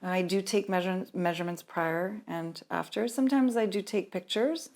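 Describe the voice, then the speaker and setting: Casting note: woman guest, mid-pitched, relaxed and plain-spoken, explaining things simply. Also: normal speed. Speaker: spa owner, guest. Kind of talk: casual interview.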